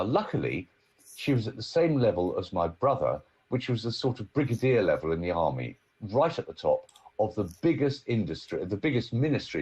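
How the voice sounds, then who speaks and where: Man, podcast guest, husky, lively intonation, emphatic storytelling. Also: on the low side, highs cut off. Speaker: guest speaker coach.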